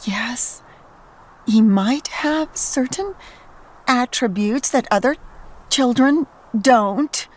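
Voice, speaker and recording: An actress playing a doting, gently firm young mother in an audio drama, speaking in a tender, earnest, cooing way. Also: on the high side, drawn-out words, long pauses. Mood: gently insistent.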